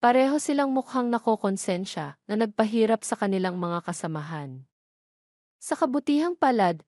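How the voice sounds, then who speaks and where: Female narrator, mid-pitched, very dry recording, for scripted romance storytelling. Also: darkish tone, no echo.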